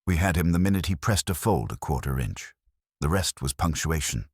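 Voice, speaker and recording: Audiobook role: methodical, lordly male sleuth voiced by a man, extremely low pitch, dry close sound, pronouncing verdicts formally. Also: lively intonation, breathy edge, no room sound, exaggerated voice. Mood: assured. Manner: formal, pompous, measured phrasing.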